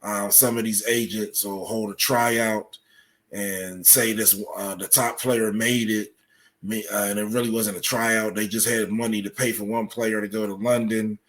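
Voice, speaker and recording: A man speaking as an interview guest, explaining things in a measured, matter-of-fact way. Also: lowish voice, flat delivery, fairly bright tone. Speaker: podcast guest, writer explaining his subject.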